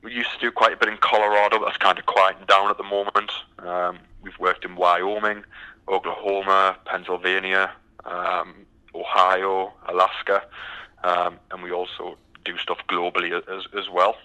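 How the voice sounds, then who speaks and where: A man's voice: low-pitched, little pitch movement, normal speed, bright, forceful tone, clear, resonant tone, rough recording. A British man from the UK, a recruitment firm founder answering interview questions with many ums and restarts.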